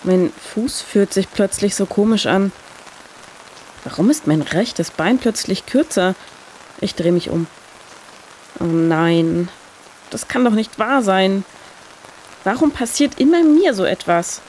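A woman reads a scripted short story in the first person. Her voice sits at a middle pitch. A faint bed of rain noise runs behind the narration. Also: expressive, takes it slowly, pauses often, a little mellow, rough recording. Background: rain sound effect.

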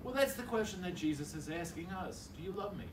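Older priest giving a homily, bit high voice, sounds far off with noise in the room. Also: male voice, expressive, brisk. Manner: conversational, acts out little dialogues. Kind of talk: sermon. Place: large church hall with altar. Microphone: stand mic at a lectern, filmed from far. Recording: roomy church hall, distant mic.

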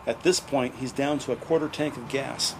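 A man's voice: mid-range voice, moderate pace. Male narrator, scripted detective drama storytelling. Mid-range voice over noticeable background noise. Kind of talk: scripted audio drama narration in present tense. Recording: vehicle and ambient noise behind.

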